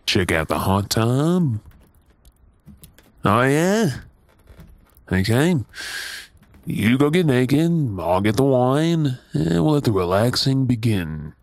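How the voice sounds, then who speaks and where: Man playing an unhurried, affectionate Australian husband. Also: mid-range voice, big pitch swings, dry recording. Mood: relaxed, playful. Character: affectionate, playful, caring husband on a getaway. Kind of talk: intimate ASMR roleplay acting.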